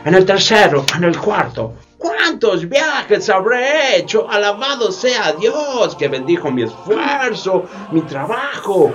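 A man's voice: high-pitched, big pitch swings, slow, hardly any pauses, a bit bright, dull audio, heavily acted. A man playing a boastful, greedy sea captain and slave trader in a radio play, theatrical and stammering. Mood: self-satisfied. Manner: theatrical, stammering, peppers speech with English words. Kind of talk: community radio theatre acting. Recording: music bed behind the voice.

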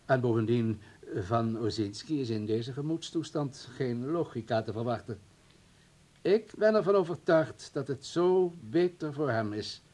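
A menacing political official in a radio drama. His husky, mid-pitched voice is polite on the surface, halting and full of veiled threats. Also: expressive, a little mellow. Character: insinuating male political official, smooth-mannered and menacing. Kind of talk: radio play acting.

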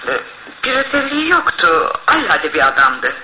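Frail aristocratic lady, tired, hesitant, wandering, very animated pitch, radio theatre, faintly noisy narrow-band recording. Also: normal speed. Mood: weary, hesitant. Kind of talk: Turkish radio theatre acting. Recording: tinny, band-limited old radio broadcast, faint noise.

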